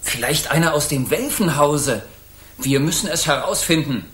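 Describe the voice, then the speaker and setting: An actor playing a stern, anxious knight captain in a radio drama, speaking in formal, archaic courtly language. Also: male voice, fairly high pitch, moderate pace, fairly bright tone, roomy sound, lightly acted. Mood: grave, suspicious. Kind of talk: German children's radio drama acting.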